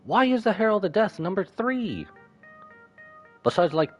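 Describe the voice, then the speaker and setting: A male game streamer with a lively, widely swinging pitch gives casual reaction commentary. He mixes muttered asides with exclamations, with some music faintly behind him. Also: measured pace, highs cut off.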